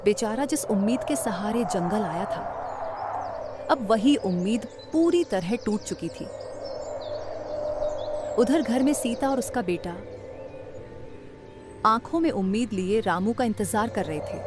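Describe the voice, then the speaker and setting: A woman's voice: mid-range voice, expressive, unhurried, pauses often. A woman narrating a moral story in a scripted, dramatic storytelling style. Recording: loud ambient noise behind the voice.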